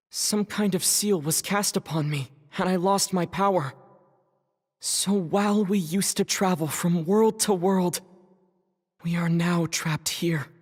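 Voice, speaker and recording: An earnest young traveler. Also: male voice, high-pitched, husky, in a large hall, exaggerated voice. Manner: earnest, quiet storytelling. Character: young male traveler searching for his sister. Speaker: video game character.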